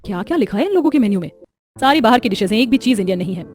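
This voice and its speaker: A woman playing a sharp-tongued, confident young daughter-in-law in a story, teasing and argumentative. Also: a little high, animated, rapid-fire, close to an everyday voice. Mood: critical. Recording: music behind the voice.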